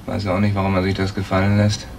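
Blunt young snoop, noisy recording. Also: male voice, sits low, completely flat delivery, fast talker, darkish tone. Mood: gossipy, conspiratorial. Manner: blunt, clipped, colloquial. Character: streetwise, gossipy young snoop, blunt and mercenary. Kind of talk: German crime radio play acting. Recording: noisy old recording with clicks and clatter.

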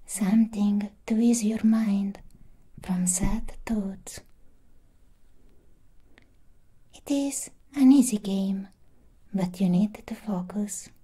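A woman playing a soothing, protective female robot doll in an ASMR roleplay, giving hushed, whispered instructions close to the mic. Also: medium pitch, slow, breathy edge. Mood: gently instructing. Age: young adult. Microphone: close ASMR microphone.

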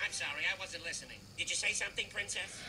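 A man voicing a fussy, wisecracking little meerkat babysitter in an animated comedy, theatrical and sarcastic. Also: high voice, faint music behind, rough recording. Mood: flippant. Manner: theatrical, fast-talking, sarcastic. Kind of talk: animated comedy film acting.

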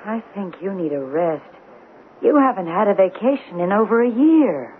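A tinny old radio recording with a woman acting a concerned female secretary. Her delivery is attentive and lively in pitch, in the manner of 1970s radio drama. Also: moderate pace, close to an everyday voice. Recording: narrow-band 1970s radio broadcast, faint noise.

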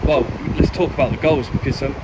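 A man interviewing a football manager for a club channel, asking brisk, leading questions outdoors beside a pitch. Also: fairly even intonation. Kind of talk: post-match interview questions. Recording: loud wind and outdoor noise.

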